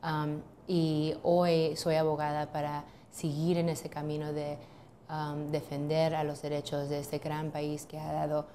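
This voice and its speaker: A woman's voice: deep voice, completely flat delivery, measured pace, mellow, a little hiss or hum. A woman in her thirties, a lawyer, answering interview questions in an office, hesitant with many ums and restarts. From United States. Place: office, seated at a desk.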